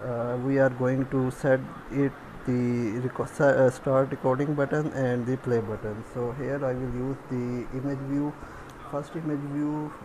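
A man instructing step by step in a screen tutorial, thinking aloud in halting, pieced-together phrases. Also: medium pitch, flat delivery, noisy background.

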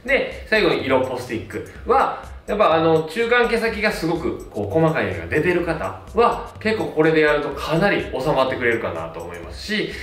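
Animated man reviewing hair products. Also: fairly high pitch, a little fast, hardly any pauses, recorded from a distance. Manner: relaxed, many fillers, gestures with products. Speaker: hairstylist. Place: small plain indoor studio. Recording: roomy sound, mic set back, faint music.

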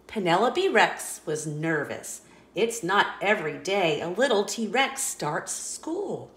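A woman reading a children's picture book aloud in a playful, expressive storytelling voice, indoors. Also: fairly low pitch, takes it slowly. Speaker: storybook reader. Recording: roomy echo.

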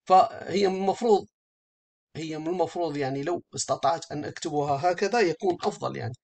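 A man giving a step-by-step software tutorial as an instructor, speaking haltingly and often rephrasing as he explains. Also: fairly high pitch, vocal fry.

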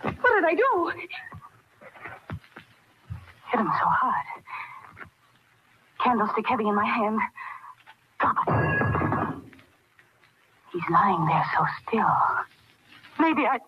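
A woman's voice: a little high, big pitch swings, slow, pauses often. A woman acting in an old radio drama, playing a spoiled, defiant nineteen-year-old rich girl. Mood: horrified. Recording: noisy, muffled old radio recording.

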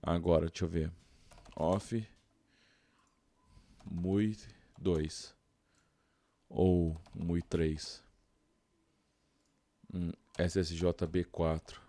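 A man's voice: low-pitched, little pitch movement, extremely slow, long pauses, dark tone. A Brazilian man doing casual gameplay commentary, informal and slangy, thinking aloud into a close mic. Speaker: gaming YouTuber.

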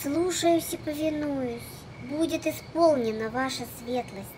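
Woman as a high-pitched obedient cartoon genie girl. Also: a little slow, background noise. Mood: submissive. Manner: deferential, formal address. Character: obedient, flattering young genie girl doll. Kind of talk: children's cartoon dubbing.

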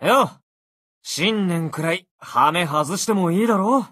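A man's voice: sits high, animated, measured pace, sharp tone, husky, dry recording, clean studio sound, heavily acted. A man voicing a brash, breezy young swordsman in a Japanese game, talking casually and cockily. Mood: breezy, friendly. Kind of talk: Japanese game character voice lines.

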